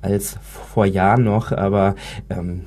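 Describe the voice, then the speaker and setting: Casual male speaker with a stutter, mellow and husky, frequent word repetitions. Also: sits low, fairly even intonation. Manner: stutters, with repeated words and halting starts. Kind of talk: casual round-table talk about stuttering.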